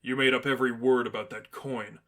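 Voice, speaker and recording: A man's voice: medium pitch, steady tone, fairly quick, fairly bright tone, lightly acted. A man voicing a stern, unamused masked vigilante who speaks seriously and tersely in a story reading.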